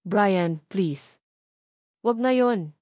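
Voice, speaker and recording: A woman's voice: medium pitch, animated, very slow, a little mellow, no echo, clean studio sound. A woman storyteller playing a frightened, guilt-ridden young mother who pleads tearfully. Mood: pleading. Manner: pleading, trembling. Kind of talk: dramatic romance story narration.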